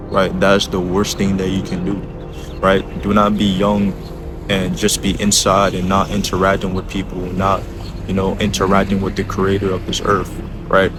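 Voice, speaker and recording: A twenty-year-old man giving casual, earnest motivational advice as a vlogger, urging the listener on and often checking in with short tag questions. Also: sits low. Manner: earnest, exhorting, repeats tag questions like a coach.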